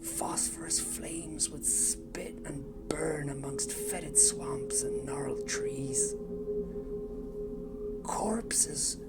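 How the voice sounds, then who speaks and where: A woman voicing an embittered orphan turned devoted plague-god cultist in an audio drama, speaking intensely and often in a hushed whisper. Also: deep voice, huge pitch swings, full character voice. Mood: reverent whisper. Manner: intense, brooding, slow and deliberate. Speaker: audio drama narrator-character. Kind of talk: first-person dramatic monologue. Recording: loud music score underneath. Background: loud music.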